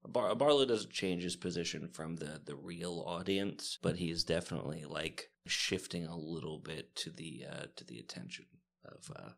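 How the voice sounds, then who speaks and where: Man, very low pitch, creaky gravel, casual tabletop roleplay, halting delivery. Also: fairly bright tone, slight background noise. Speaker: tabletop game player. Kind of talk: casual in-character roleplay and chat.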